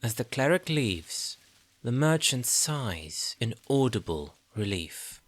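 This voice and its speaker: A man narrating a scripted fantasy audio drama in a measured storytelling manner. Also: medium pitch, big pitch swings.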